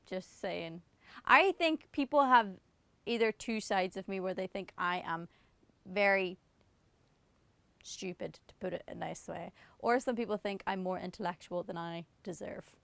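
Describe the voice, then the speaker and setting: A young Irish woman talks straight to camera in a loose, chatty vlog style, poking fun at herself with little laughing asides. Her voice is smooth and clear, in a mid register. The sound is dry and close, with no room around it. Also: mid-range voice, measured pace, a bit bright, no room sound. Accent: Northern Irish. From Ireland. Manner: chatty, self-mocking, giggly asides. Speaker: singer answering autocomplete questions. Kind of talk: casual self-deprecating chat to camera.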